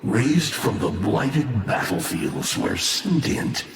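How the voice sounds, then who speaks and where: A man's voice: lowish voice, very animated, slow, creaky, crowd noise, heavily acted. A male actor playing a bitter, ancient fallen warlord in a video game, mocking and grandiose, with theatrical, menacing delivery. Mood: grave, ominous. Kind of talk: dramatic video game voice acting. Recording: cavernous echoing reverb, faint ambient noise.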